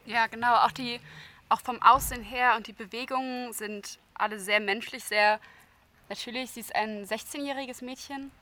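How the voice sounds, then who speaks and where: A woman's voice: on the high side, fairly even intonation, normal speed, pushed and bright. A young woman, a soprano from Berlin, answering eagerly in an interview. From Berlin, Germany. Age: young adult. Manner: eager, repeats words like "total". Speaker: soprano singer.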